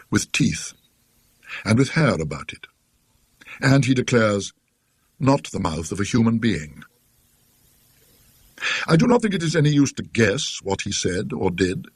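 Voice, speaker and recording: A British man with a husky voice narrating a classic ghost story in a scholarly, dryly witty, deliberate manner. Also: lowish voice, no echo.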